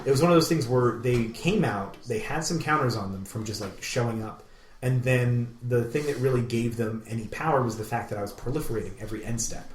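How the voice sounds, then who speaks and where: A man's voice: mid-range voice, a little fast, slight background noise. A man co-hosting a podcast, chatting casually in an enthusiastic, rambling way. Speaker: podcast co-host. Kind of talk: casual chat. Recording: roomy, echoey room sound.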